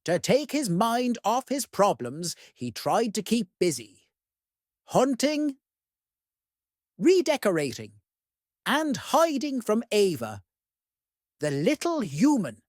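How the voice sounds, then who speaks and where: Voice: mid-range voice, a little mellow, dry recording, clean studio sound. A storyteller reading a children's picture book aloud expressively, addressing young listeners. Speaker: read-aloud host.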